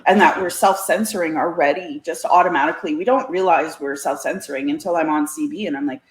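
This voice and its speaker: Brief: female guest, folksy and talkative, lowish voice, roomy echo on the sound. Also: quick pace. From Canada. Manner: chatty, folksy, laughs often. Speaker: interview guest. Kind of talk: casual chat.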